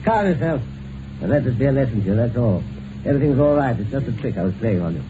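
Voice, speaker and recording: A man's voice: mid-range voice, very animated, fast talker, natural voice. Man playing a jovial, mocking husband, swooping delivery. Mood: teasing. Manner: hearty, mocking, chuckling. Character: jovial, teasing upper-class husband fond of cruel tricks. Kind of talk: old-time radio drama acting. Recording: tinny, noisy old radio recording.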